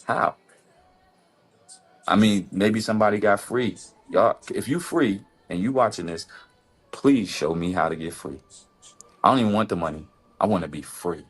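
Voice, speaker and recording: An impassioned man on a podcast with a low, husky voice. He fires off rhetorical questions and jumps up to sharp, high exclamations. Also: normal speed, long pauses, soft background music. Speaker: podcast co-host. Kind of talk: casual opinion talk.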